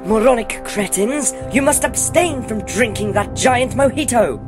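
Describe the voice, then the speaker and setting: A man's voice: very high-pitched, expressive, a little slow, music in the background. A man playing an earnest, cautious adventurer, speaking in a grave, formal mock-epic manner in a comedic fantasy radio play. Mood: disapproving. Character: solemn, cautious, earnest quest companion trained by monks.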